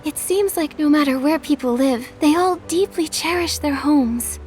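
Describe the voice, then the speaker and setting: A woman playing a polite, gentle, helpful young heroine in a video game. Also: sits high, normal speed, close to an everyday voice. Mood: thoughtful. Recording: music under the voice.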